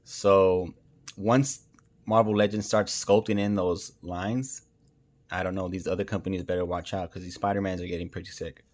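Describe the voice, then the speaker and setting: A man's voice: deep voice, steady tone, normal speed, darkish tone. A man hosting a casual toy review, speaking informally and enthusiastically with plenty of slang.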